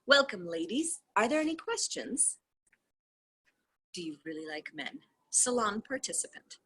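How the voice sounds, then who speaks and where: A woman with a husky, breathy voice at a middle pitch reads a book aloud as a host. Her delivery is effusive and casual, with dramatic emphasis and drawn-out words. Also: big pitch swings, pushed and bright. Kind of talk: read-along with casual asides.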